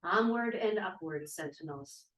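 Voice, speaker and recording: A woman's voice: fairly low pitch, animated, measured pace, a bit bright. A woman playing a resolute leader formally presiding over a meeting in a scripted play reading. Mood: solemn, rallying. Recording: distant, echoey, noisy room.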